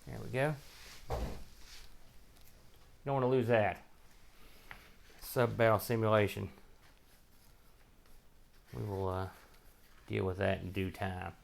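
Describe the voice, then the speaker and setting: A man's voice: on the low side, fairly even intonation, unhurried, creaky, long pauses. An American man hosting a casual unboxing, rambling and thinking aloud as he handles each item. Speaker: host of a retro computing show.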